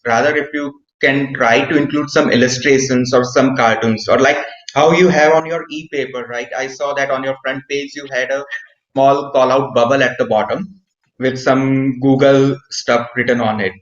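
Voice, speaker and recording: A man giving feedback in an online meeting, hesitant, with many fillers and frequent "right?". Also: medium pitch. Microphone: video call. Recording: roomy, dull video call audio.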